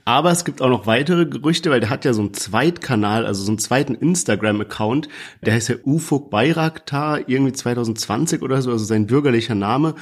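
A man's voice: mid-range voice, quick pace. Casual young man hedging his opinions. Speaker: podcast co-host. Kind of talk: casual chat about rap releases.

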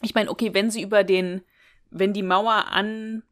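A woman's voice: mid-range voice, level tone, normal speed, fairly bright tone. A woman chatting casually and conversationally as a podcast co-host. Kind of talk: casual chat.

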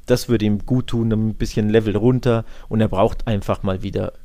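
A man with a fairly low voice and plenty of fry co-hosts a football podcast. He gives his opinions casually and colloquially, often looking for agreement. The sound is dry, with no room to it. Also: steady tone, normal speed, dark tone, dry recording. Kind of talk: casual football talk and analysis.

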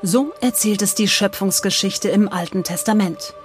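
A woman's voice: medium pitch, normal speed, soft background music. A woman reading scripted storytelling narration in the present tense.

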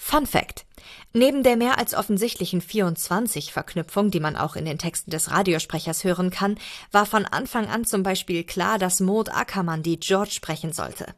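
A woman's voice: medium pitch, moderate pace. A woman reading an email aloud as a guest reader.

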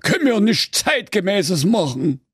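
A man's voice: high voice, sing-song, a little slow, fairly bright tone, breathy edge, dry recording, voice pushed up, exaggerated voice. A touchy, fretful Saxon executioner, put on by a male narrator, pleading in a raised voice. Close, dry sound. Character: oversensitive fussy executioner, man.

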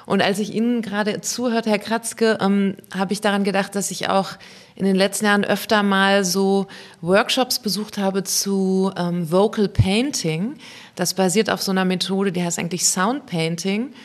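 A woman on a discussion panel, reflecting on music and how people learn it. She talks thoughtfully, with occasional fillers. Her voice sits at a medium pitch. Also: fairly even intonation, normal speed. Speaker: music education guest.

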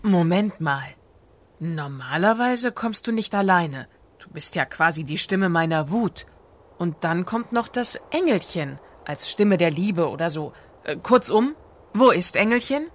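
A woman's voice: fairly low pitch, animated, a little slow. A woman playing Santa's harried, pragmatic and grumbling wife in a fairy-tale radio play. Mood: doubtful, insistent.

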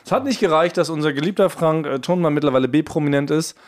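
A man's voice: on the high side, fast. A man hosting a casual chat among friends in a playfully melodramatic manner. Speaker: podcast host. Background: background music under the voice.

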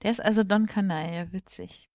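A woman's voice: mid-range voice, steady tone, brisk, a little mellow, no room sound. Woman podcaster chatting casually, dry close sound. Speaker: podcast co-host. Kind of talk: casual chat about cartoons.

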